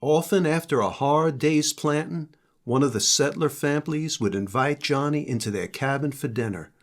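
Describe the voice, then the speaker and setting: A man's voice: a little high, moderate pace. An older man, a grandfather, narrating a children's story.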